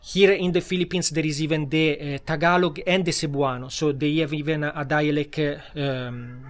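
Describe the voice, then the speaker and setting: A man's voice: fairly high pitch, steady tone, moderate pace. A man with an Italian accent, a vlogger talking casually at home with many fillers and restarts. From Italy. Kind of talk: casual vlog talk. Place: home in a tropical town.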